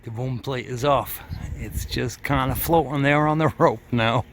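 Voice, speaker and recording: A man with a mid-pitched voice narrates casually as he works outdoors. His commentary is plain and off the cuff, like a home vlog. It is picked up on a handheld camera with faint ambient noise around him. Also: a little slow. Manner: chuckles at his own remarks. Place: outdoors at the foot of an antenna tower.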